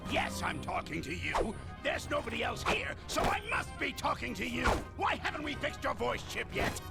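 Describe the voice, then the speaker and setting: A short-tempered evil scientist in a cartoon game. The voice is high and creaky, swinging wildly with theatrical, pompous bluster. Loud music plays behind it. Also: male voice, big pitch swings, moderate pace, exaggerated voice. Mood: exasperated. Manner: bombastic, theatrical, exasperated. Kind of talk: animated video game cutscene acting.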